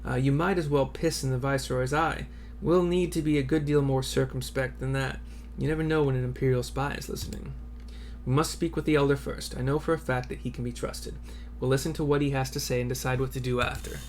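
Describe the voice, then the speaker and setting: A sober resistance soldier read by a man with a measured, cautious delivery. He sounds grave, like a game companion, over clear background noise. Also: mid-range voice, steady tone, a little mellow, lightly acted.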